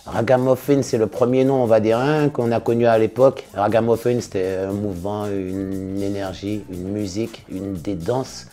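Brief: relaxed fifties male singer-DJ, low mellow voice, studio interview, soft music bed. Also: normal speed, hardly any pauses. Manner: relaxed, laid-back, with slangy big-ups. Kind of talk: casual interview answers. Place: studio in front of blue backdrop.

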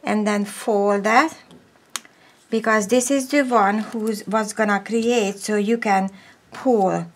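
Slow-talking woman explaining a craft. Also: mid-range voice, steady tone. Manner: slow, step-by-step, drops to a whisper while working. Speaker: papercraft tutorial host. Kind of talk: casual crafting tutorial. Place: craft table indoors, overhead camera.

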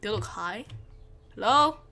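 A woman hosting a gaming channel, chatting casually and excitably while playing, often repeating words. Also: mid-range voice, measured pace, slight background noise. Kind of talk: casual gameplay chat.

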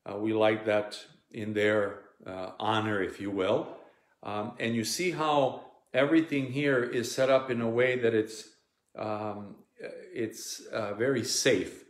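A man's voice: lowish voice, steady tone, slow pace. A man in his forties, a priest whose first language is Serbian, speaking English with a Serbian accent as he earnestly shows parishioners things at home, with many ums and restarts. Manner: earnest, plain-spoken, frequent ums and restarts. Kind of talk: informal teaching to parishioners. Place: living room at home. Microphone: device camera at close range. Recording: echoey room.

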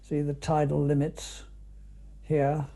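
A man's voice: on the high side, monotone, takes it slowly, creaky, dark tone. An older man with a British accent reminiscing in an unscripted, conversational film commentary, with clipped musing asides. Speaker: canoeist recalling an expedition.

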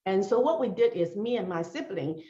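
A woman's voice: medium pitch, lively intonation, brisk, warm tone, lo-fi sound. Woman, roomy webcam audio. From Hong Kong. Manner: storytelling, earnest. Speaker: speaker giving opening remarks. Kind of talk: personal story speech. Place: home study with bookshelves. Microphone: video call webcam.

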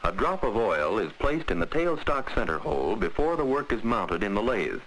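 An American man reading a scripted 1940s instructional film narration in a measured, precise, instructive way. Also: medium pitch, sing-song, vocal fry, a bit bright, rough recording. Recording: old 1940s film soundtrack, faint hiss.

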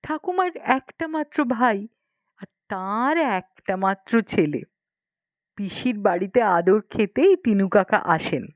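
A woman narrating a literary story as an audiobook reader, in an expressive storytelling style. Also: mid-range voice, slow pace. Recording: muffled lo-fi, roomy echo.

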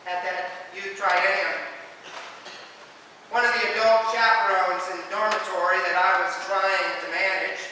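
Echoey, high-pitched older male preacher. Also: bright, forceful tone. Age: older, 60s or above. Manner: emphatic, theatrical delivery with dramatic pauses and raised exclamations. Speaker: pastor preaching. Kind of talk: animated church sermon. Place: large stone church, at a pulpit. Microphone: gooseneck pulpit microphone. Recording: echoey church hall, distant mic, faint noise.

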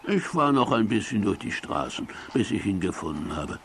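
A man's voice: lowish voice, very animated, normal speed, slight background noise. A man playing a bumbling but kind-hearted sidekick in a children's audio drama, hesitant and simple-minded.

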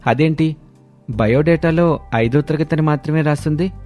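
A man's voice: fairly high pitch, moderate pace, darkish tone, voice pushed up, natural voice. A male storyteller voicing a stubborn, impatient young groom in a blunt, insistent way. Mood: questioning. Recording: background music under voice.

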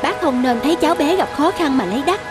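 An actress playing a kind, gentle, persuasive woman passer-by in a cartoon. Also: high-pitched, animated, fast, over music. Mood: pleading. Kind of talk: cartoon dialogue.

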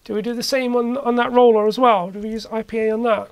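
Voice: mid-range voice, flat delivery, fast talker, smooth, no room sound. A person giving casual hands-on repair commentary in a hesitant way, as if thinking aloud. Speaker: repair video maker. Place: indoor electronics workshop.

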